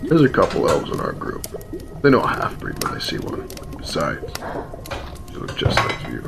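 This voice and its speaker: A man acting a wry, world-weary, gruffly protective rebel in a fantasy radio play, with a teasing, matter-of-fact manner. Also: low-pitched, huge pitch swings, normal speed, very noisy, heavily acted. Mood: grave. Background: music under the voice.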